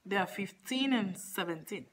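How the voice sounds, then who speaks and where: A woman prank-calling a relative, talking in a calm, matter-of-fact way with hesitant restarts. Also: medium pitch, normal speed, breathy edge. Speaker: prank caller. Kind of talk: casual phone chat.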